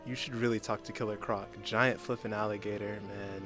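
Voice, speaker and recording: A man's voice: fairly low pitch, fairly even intonation, brisk, over music, natural voice. A man playing a defensive, wry supervillain with a slangy, sardonic way of talking in a comedic radio play.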